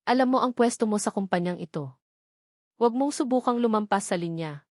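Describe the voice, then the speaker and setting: Woman voicing a slow, menacing female boss. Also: medium pitch, darkish tone, no echo, studio quality, natural voice. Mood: cold, threatening. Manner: slow, clear, deliberate delivery. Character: cold, menacing female boss warning a rival.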